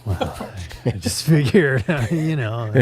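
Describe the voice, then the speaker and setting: A man co-hosting a casual conversation about audio gear in a low-key, conversational way. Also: a little mellow. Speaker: co-host and reviewer.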